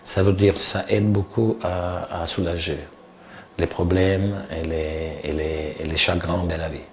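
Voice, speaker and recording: A man's voice: low-pitched, level tone, unhurried, a little hiss or hum. A man with an Italian accent, a circus performer giving an interview, speaking hesitantly and often repeating his words. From Italy.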